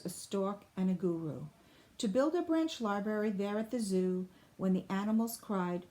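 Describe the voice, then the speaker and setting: Slow-paced woman reading a storybook. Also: mid-range voice, mellow. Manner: deliberate, clearly phrased storybook delivery. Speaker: teacher reading a picture book to a class. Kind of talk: expressive children's read-aloud.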